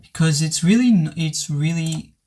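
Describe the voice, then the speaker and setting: Male reviewer, pitch a bit high, voice worn from sickness, sincere and stop-start. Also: normal speed, dark tone. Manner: hesitant, many restarts, earnest. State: voice worn from recent illness. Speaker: movie reviewer. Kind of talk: casual spoken film review.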